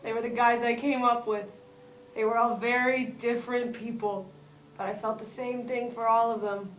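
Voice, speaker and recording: A woman's voice: a little high, flat delivery, normal speed, close to an everyday voice. A woman playing a weary, sentimental middle-aged male movie star in grief at a comedy table read. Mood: wistful. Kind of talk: live comedy script read-through. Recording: roomy, distant mic, faint noise.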